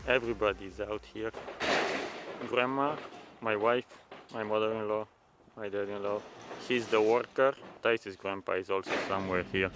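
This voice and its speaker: A man's voice: fairly low pitch, fairly even intonation, moderate pace, over music, low-quality recording. A man in his thirties with a Romanian accent, chatting casually as he walks through a construction site. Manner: chatty, repeats words while talking. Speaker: vlogger. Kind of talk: casual vlog walkthrough.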